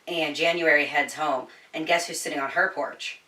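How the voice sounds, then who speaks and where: A woman chatting informally through a book recap, slangy and sweary, telling it like an animated storyteller. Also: low voice, moderate pace, recorded from a distance, background noise. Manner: animated storyteller, voicing quoted dialogue. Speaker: book reviewer. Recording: echoey room, somewhat off the mic.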